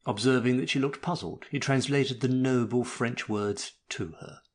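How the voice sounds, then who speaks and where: A man's voice: medium pitch, normal speed. A man narrating a story expressively, with a theatrical, mock-melodramatic delivery.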